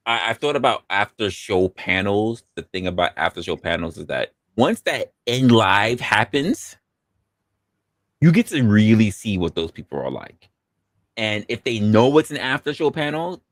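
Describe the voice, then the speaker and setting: A laid-back man in his forties chatting on a late-night stream. His low voice swings up and down a lot, and he laughs easily and stumbles over repeated words. The sound is close and dry, with no room at all. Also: normal speed, no room sound. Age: 40s. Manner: relaxed, laughs often, repeats words as he talks. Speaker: host. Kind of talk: casual chat on a live stream.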